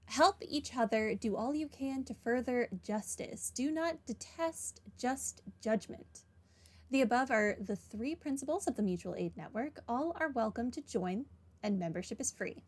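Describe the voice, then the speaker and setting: A woman's voice: on the high side, expressive, unhurried. A woman game streamer with a wry manner, casually chatting and reading aloud during a playthrough. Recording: game music behind.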